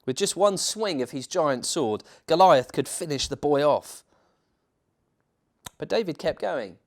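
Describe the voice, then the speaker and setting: A man reading a children's bedtime story as a church minister, theatrical, with dramatic pauses and emphasis. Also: on the high side, expressive.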